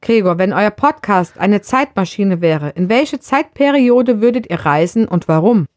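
A woman's voice: mid-range voice, animated, measured pace, noisy background. A woman reading out scripted questions to podcast hosts. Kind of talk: scripted questions read aloud.

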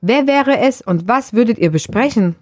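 Woman reading questions, lively intonation. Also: fairly high pitch, moderate pace, no room sound, clean studio sound. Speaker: question reader. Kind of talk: scripted reading of interview questions.

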